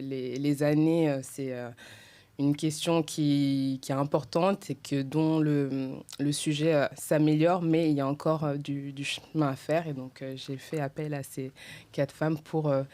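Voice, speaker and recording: A woman's voice: low-pitched, a little mellow. A woman hosting a roundtable podcast, introducing guests with a hesitant delivery full of fillers. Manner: hesitant, many fillers and "donc". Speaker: podcast host.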